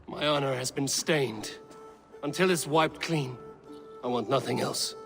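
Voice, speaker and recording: An actor playing a proud, defiant young Viking warrior who speaks bluntly and curtly. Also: male voice, medium pitch, a little slow, gravelly. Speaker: game character. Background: game score music.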